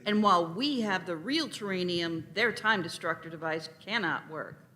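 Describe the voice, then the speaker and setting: A woman plays a feisty young adventurer in a sci-fi radio play. She sounds impatient and forceful, with a bright voice and lively, swinging intonation. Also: lowish voice, moderate pace. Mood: exasperated. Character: feisty, impatient young female space-travelling companion. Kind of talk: live radio play reading.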